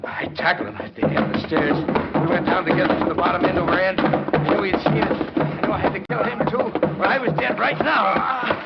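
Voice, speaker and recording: A hardboiled male killer tells his story in clipped, brooding phrases. The voice sits a little high for a man, in old radio crime style. The sound is dull and vintage, with heavy music. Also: brisk. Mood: tense, haunted. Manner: terse, clipped, hardboiled delivery. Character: cold-blooded male reporter narrating his murder, brooding. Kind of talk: 1940s radio crime drama, first-person hardboiled narration. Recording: muffled old radio recording, loud music.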